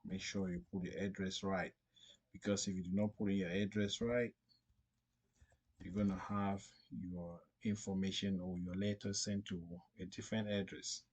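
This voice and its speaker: A man narrating an unscripted step-by-step screen tutorial in a halting, repetitive way. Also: deep voice, level tone, darkish tone, husky, dull audio. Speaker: tutorial presenter.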